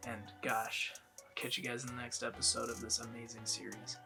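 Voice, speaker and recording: A man's voice: mid-range voice, level tone, moderate pace, gravelly, over music. A man in his twenties, congested from a head cold, chatting casually to camera in a rambling way as a reaction video host.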